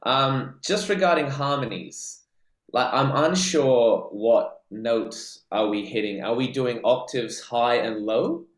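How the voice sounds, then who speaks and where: A man's voice: medium pitch, takes it slowly. A man, a student asking a polite, unsure question about harmonies on a video call. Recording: roomy echo on a call.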